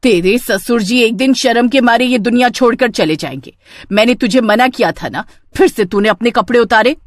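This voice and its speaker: A woman voicing an exasperated older mother-in-law in a family story, sharp and reproachful. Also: on the high side, normal speed, no echo, studio quality, lightly acted.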